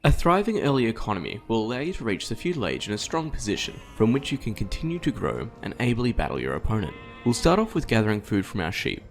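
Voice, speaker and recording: A man's voice: on the low side, sing-song, fast talker, few pauses, faint music behind. A man reading scripted tutorial narration for a strategy game, instructing step by step.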